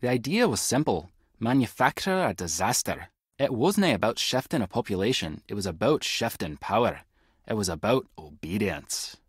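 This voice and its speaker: Husky, wry Scottish spy. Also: male voice, lowish voice, sing-song, moderate pace, no room sound, clean studio sound. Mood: wry, explanatory. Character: wry, clever male tech-savvy spy agent. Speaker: video game voice actor. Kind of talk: scripted adventure game dialogue.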